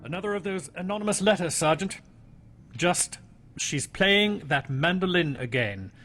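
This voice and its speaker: An actor playing a courteous but quietly suspicious police inspector in a radio mystery, questioning politely. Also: male voice, high voice, expressive, normal speed, a little hiss or hum. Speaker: actor in audio drama. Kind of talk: old-time radio mystery acting.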